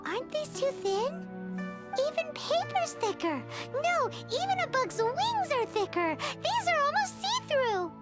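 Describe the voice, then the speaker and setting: A woman voicing a tiny childlike fairy companion in a video game, excitable, cheeky and blunt. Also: very high-pitched, measured pace, full character voice. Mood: surprised, questioning. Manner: exclamatory, blunt, curious. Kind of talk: video game character dialogue. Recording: loud music behind the voice.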